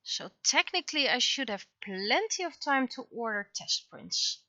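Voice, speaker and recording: A woman chatting casually on a livestream as she works, thinking aloud in a halting way and talking half to herself. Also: sits high, sing-song, bright, forceful tone, dry recording, dull audio. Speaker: livestreaming webcomic artist. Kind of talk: casual livestream chat while working.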